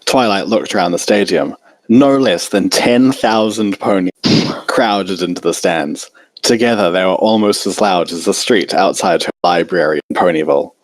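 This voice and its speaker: Man, story narrator, deep voice, dry close recording. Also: normal speed, no echo, rough recording. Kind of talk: narrated fan fiction reading.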